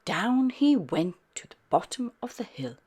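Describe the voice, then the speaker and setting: A woman narrating a children's storybook in an expressive, unhurried read-aloud style. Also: mid-range voice, breathy edge. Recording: music behind the voice.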